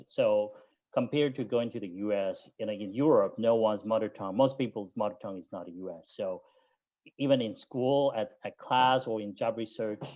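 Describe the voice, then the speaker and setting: A man in his thirties with a Taiwanese accent and a low voice, talking things through in a relaxed interview. He thinks aloud, pausing and restarting as he goes. The audio comes through a video call and sounds dull and muffled. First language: not English. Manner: thoughtful, with frequent fillers and restarts. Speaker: guest, MBA alumnus. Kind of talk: casual interview chat.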